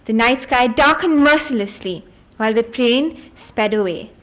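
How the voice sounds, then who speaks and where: Casting: woman with a high voice for an expressive live reading of a fantasy novel, small stumbles left in. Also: moderate pace. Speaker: reader at a book event.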